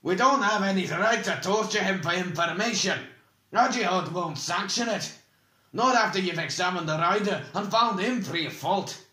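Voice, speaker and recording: A man's voice: sits high, racing, hardly any pauses, pushed and bright, reverberant, voice pushed up. A man voicing a blunt, hot-tempered, defiant male dwarf who roars indignantly in an audiobook story.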